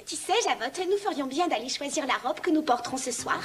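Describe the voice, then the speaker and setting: A woman's voice: high-pitched, animated, a little fast, faint music behind. A woman playing a vain, bossy young stepsister in a French-dubbed fairy-tale cartoon, haughty and mocking. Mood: self-satisfied.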